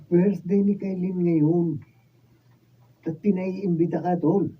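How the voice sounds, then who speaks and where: A woman's voice: fairly low pitch, fairly even intonation, slow pace, slightly rough. A Filipino woman playing a sly, teasing young student buddy in a radio drama. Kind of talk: Tagalog radio drama acting. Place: radio drama studio with ambient noise behind. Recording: dull, distant, faintly noisy studio camera sound.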